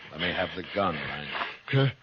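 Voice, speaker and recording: A deep, low American male voice plays a steadfast, fearless Old West lawman. He speaks tersely and firmly in 1950s radio western style, on a muffled old broadcast recording. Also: very animated, takes it slowly, very noisy, full character voice. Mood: grimly resolute. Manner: terse, firm. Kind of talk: 1950s radio western acting and first-person narration.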